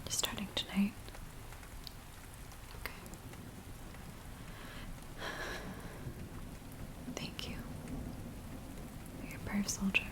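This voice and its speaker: Breathy, whispering anxious village woman acted by a woman. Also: medium pitch, unhurried, lightly acted. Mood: worried, pleading. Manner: hushed, gentle, hesitant. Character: anxious, earnest village woman briefing a werewolf tracker. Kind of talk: soft-spoken ASMR roleplay. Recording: steady rain noise behind close soft voice. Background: rain ambience.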